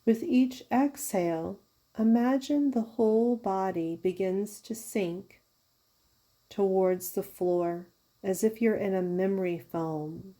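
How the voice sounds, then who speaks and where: A woman leading a guided yoga nidra meditation as a teacher, giving soothing, unhurried instructions with pauses. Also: medium pitch, mellow. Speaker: yoga therapist guiding a meditation.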